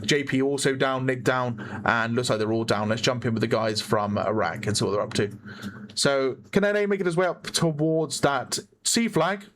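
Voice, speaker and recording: A man with a hoarse voice giving chatty play-by-play commentary on a competitive online shooter match, often correcting himself. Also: mid-range voice, steady tone, normal speed, fairly bright tone. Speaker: esports caster. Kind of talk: live game commentary.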